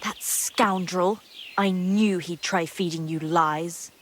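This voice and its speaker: A woman's voice: lowish voice, fairly even intonation, slow pace. A woman playing a gossipy, guarded young monastery maid in a medieval video game drama, secretive and earthy in her talk. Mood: indignant, confiding. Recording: clear background ambient noise.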